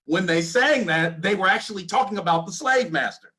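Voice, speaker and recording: A man on a discussion panel, explaining his point in a measured way. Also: high-pitched, lively intonation, sharp tone.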